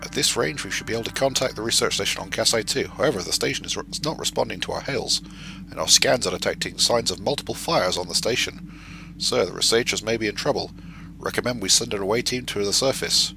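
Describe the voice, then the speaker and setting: A man's voice: on the low side, quick pace, vocal fry, noisy background. A man reading a starship officer's report aloud in his own plain voice. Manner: plain reading of game dialogue.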